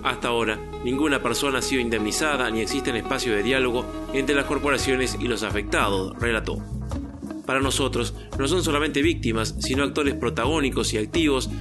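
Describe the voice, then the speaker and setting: A male news presenter reading a scripted report in a measured, even newsreader delivery. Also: mid-range voice. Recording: music bed under the voice.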